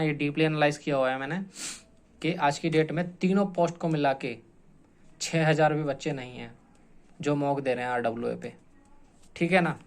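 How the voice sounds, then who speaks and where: A man explaining like an exam coach, informal and repetitive, stressing points and often adding check-in tag phrases. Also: fairly high pitch. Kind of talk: informal explanatory lecture to students.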